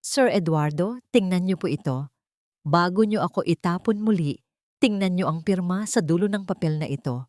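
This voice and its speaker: A woman's voice: fairly low pitch, moderate pace, a little mellow, no echo, clean studio sound, close to an everyday voice. A woman voicing a desperate housemaid in an audio drama, urgent and pleading. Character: desperate, pleading young housemaid exposing a murder.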